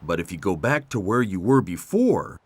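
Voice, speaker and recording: A man's voice: low voice, very animated, moderate pace, darkish tone, dry recording. A man voicing a knowing, amused farm vehicle who explains things patiently in a children's storybook. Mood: reassuring.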